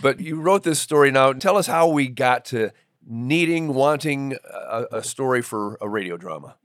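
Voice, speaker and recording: A man's voice: medium pitch, expressive, moderate pace, fairly bright tone, no echo. A male podcast host with a mid-range voice. He talks in a playful, bantering way, and the sound is dry and close, with little room to it. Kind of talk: scripted introduction and casual joking chat.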